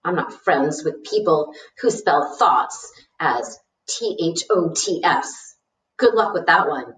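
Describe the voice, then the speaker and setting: A woman's voice: sits low, lively intonation, slow pace. Boastful seventh-grade boy played by a woman, frank and informal storybook letter, echoey and off-mic. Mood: boastful, chatty. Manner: frank, self-assured, informal. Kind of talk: storybook read-aloud of a letter. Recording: roomy, echoey, distant mic.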